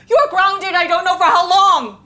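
A fed-up mother of teenagers, acted out by a woman, scolding her kids with a lively voice that swoops high in outrage. She is fairly high-pitched for a woman, with big dramatic swings. It is played as a comedy sketch. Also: exaggerated voice. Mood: outraged. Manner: scolding, dramatic, voice climbing high when upset. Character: strict, exasperated middle-aged mother of teenagers.

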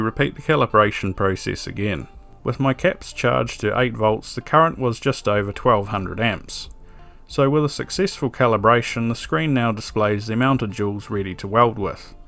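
A man giving a calm, methodical scripted voice-over for an electronics build tutorial, with a dry sense of humor. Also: on the low side, moderate pace, vocal fry. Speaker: electronics DIY video maker. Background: soft background music.

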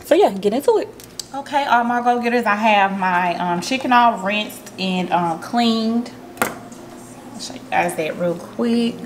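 A woman hosting a relaxed cooking tutorial in her home kitchen, chatting to her viewers with plenty of ums as she works. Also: on the high side, unhurried, a little hiss or hum. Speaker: cooking vlogger.

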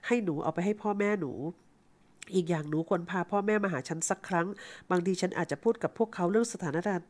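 A woman voicing a kindly, patient old rich man who gently gives advice in an audiobook reading. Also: lowish voice, fast, lightly acted. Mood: earnest. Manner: gentle, earnest advice-giving.

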